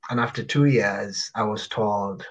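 A man in his thirties from Uganda, telling his life story as a guest in an interview, reflective and pausing with fillers. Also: on the low side. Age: 30s. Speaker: guest author.

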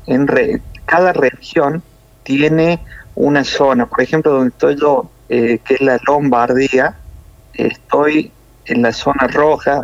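A man from Córdoba with a Cordoban Argentine accent, a nurse explaining things in a measured way with many hesitations over a phone interview. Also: medium pitch, dry recording, muffled recording. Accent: Cordoban Argentine Spanish.

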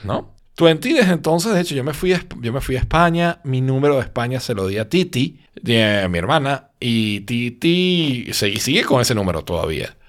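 Venezuelan guy, tired, rambles on a podcast, checks in with you a lot, very animated intonation. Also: medium pitch, normal speed, hardly any pauses. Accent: Venezuelan Spanish. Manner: rambling storytelling with frequent tag questions. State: sleepy. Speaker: podcast host. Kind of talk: casual podcast chat.

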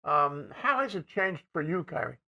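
Bright, halting male interviewer. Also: fairly high pitch, big pitch swings, highs cut off. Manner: halting, many fillers and restarts, clears throat. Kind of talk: interview questions.

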